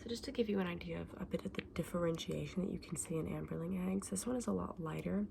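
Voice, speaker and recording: A woman doing a soft-spoken, often whispered ASMR roleplay as a gentle, chatty shopkeeper, explaining her wares informally and without hurry. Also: lowish voice, quick pace, gravelly, background noise. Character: gentle, chatty woman selling dragon eggs.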